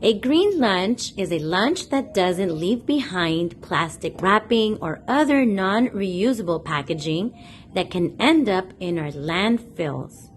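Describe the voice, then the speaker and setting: A woman reading a picture book aloud for young children, speaking deliberately and addressing her listeners directly. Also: mid-range voice, lively intonation, a little hiss or hum. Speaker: host of a children's read-aloud channel.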